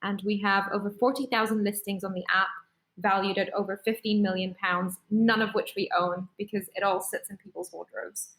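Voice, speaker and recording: A woman from Singapore, a startup founder, telling her story in a measured, articulate way in a podcast interview. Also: medium pitch, fairly even intonation, fairly bright tone, echoey room, poor audio.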